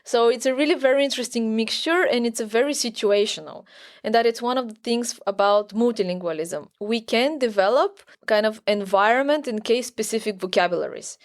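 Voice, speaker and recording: A woman's voice: a little high, a little fast. Woman explaining research. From Bulgaria. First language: Bulgarian. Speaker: academic researcher, podcast guest. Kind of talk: conversational expert explanation.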